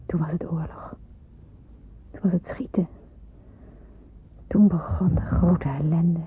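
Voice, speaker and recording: An actress playing a devout, calm young woman in wartime hiding, narrating her thoughts in a measured, dreamy way for a radio play. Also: on the low side, husky, heavily acted. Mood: dreamy, melancholy. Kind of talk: radio play acting with reflective inner narration. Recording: tinny, noisy old radio play recording.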